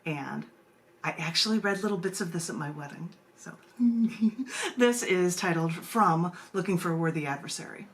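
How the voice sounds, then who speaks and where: A woman's voice: lowish voice, normal speed. A woman who hosts a virtual poetry open mic, speaking informally as she shares and reads her poems. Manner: informal, giggly, digressive asides in chat. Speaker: poet, open mic host. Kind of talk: chatty hosting of a virtual poetry reading, with poems read aloud. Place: virtual meeting, live video stream.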